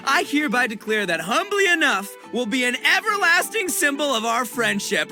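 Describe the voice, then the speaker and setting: A man's voice: very high voice, heavily acted. A man voicing a boisterous, slangy, big-hearted young gang boss who likes to show off in an anime fantasy game. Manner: loud, slangy, bragging.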